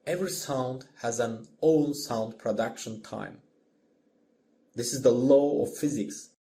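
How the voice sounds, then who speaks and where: Mellow male music instructor, noisy recording. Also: mid-range voice. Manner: explanatory, simple phrasing. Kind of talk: scripted lesson narration.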